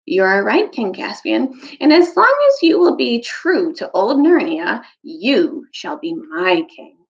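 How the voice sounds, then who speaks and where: Storybook badger, male, loyal and firm in belief, played by a woman. Animated intonation, creaky edge, slightly muffled recording. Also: a little high, slow pace, heavily acted. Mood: earnest.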